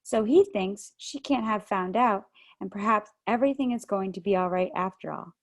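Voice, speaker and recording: A woman's voice: medium pitch, normal speed, lightly acted. A woman reading aloud over a video call, voicing an eager young girl who gushes breathlessly. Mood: excited. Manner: breathless, gushing.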